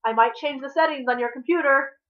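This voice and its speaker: A woman reading the part of a playful, pushy man who teases and persists in an animated, insistent way. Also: high voice, brisk, lo-fi sound, pitched above the performer's own voice, lightly acted. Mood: teasing. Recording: thin, band-limited home recording.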